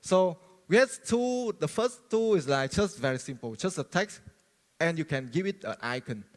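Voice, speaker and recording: A man from Vietnam, giving a friendly technical conference talk, halting and full of fillers and restarts. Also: a little high, lively intonation. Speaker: conference speaker. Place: large conference hall. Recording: long reverb of a large hall.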